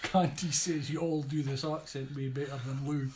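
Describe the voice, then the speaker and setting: A woman's voice: very deep voice, fairly even intonation, moderate pace, a little mellow, slight background noise. A woman co-hosting in casual chat, reading out viewer comments and teasing jokily. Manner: teasing, jokey.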